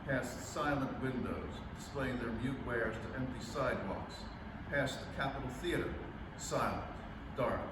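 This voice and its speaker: A man acting a grave, reflective scientist who survived an alien invasion, in a solemn, measured radio drama monologue. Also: medium pitch, close to an everyday voice. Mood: tense, awed. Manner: solemn, measured, literary delivery. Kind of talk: 1938 radio drama monologue, staged reading. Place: live staged reading in a library. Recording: echoey room from far off, loud noise.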